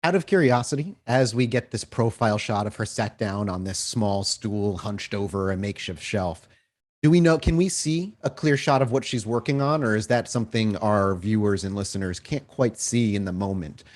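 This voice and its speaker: A man's voice: fairly low pitch, moderate pace, darkish tone. A man running a tabletop roleplaying game, narrating in wordy, cinematic detail and putting questions to players. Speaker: game master and storyteller.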